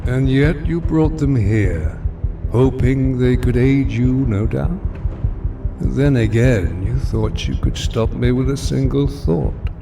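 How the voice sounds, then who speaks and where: A menacing middle-aged supervillain, played by a man speaks over a clear music bed. He is imperious and grandiose, drawing his words out in a creaky voice, as in an audio drama. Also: medium pitch. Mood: smug, mocking. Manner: measured, imperious.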